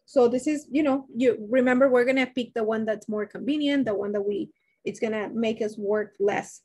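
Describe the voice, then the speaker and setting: A woman's voice: fairly high pitch, moderate pace, a little mellow. A woman nursing instructor working through a dosage problem in a live online lecture, restarting sentences and asking her class questions. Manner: thinks aloud with many restarts, asks class questions. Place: indoors, webcam beside shared slides.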